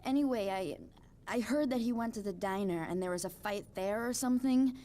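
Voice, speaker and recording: A woman's voice: mid-range voice, brisk, warm tone, close to an everyday voice. A woman playing a nervous but stubborn young woman in a thriller game, with a hesitant, defensive, naturalistic delivery. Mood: nervous, evasive. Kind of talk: naturalistic dramatic game acting.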